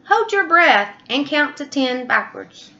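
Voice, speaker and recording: A woman's voice: high voice, sing-song, unhurried, gravelly, pushed and bright, dull audio, pitched above the performer's own voice, full character voice. A woman voicing a confident, helpful storybook zebra in an eager, instructing manner.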